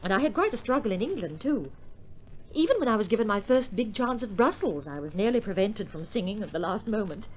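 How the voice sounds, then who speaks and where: A woman's voice: medium pitch, sing-song, a little fast, slight background noise, close to an everyday voice. Radio-play prima donna, played by a woman: effusive, carefree, swooping delivery on a dull old recording. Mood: carefree, confiding. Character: excitable, extravagant young opera soprano, woman. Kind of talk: 1950s-style radio drama acting.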